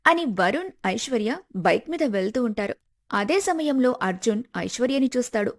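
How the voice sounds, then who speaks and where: A woman's voice: on the high side, expressive, moderate pace. A woman reading scripted narration for a story.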